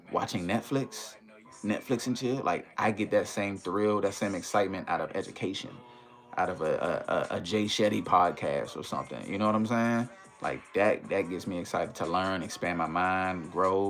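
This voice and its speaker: A deep-voiced American man hosts a casual livestream over music. He talks to his viewers in a slangy, conversational way, dropping in tags like "you know" as he goes. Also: fairly bright tone. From United States, Maryland and Washington DC area. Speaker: livestream host chatting with viewers.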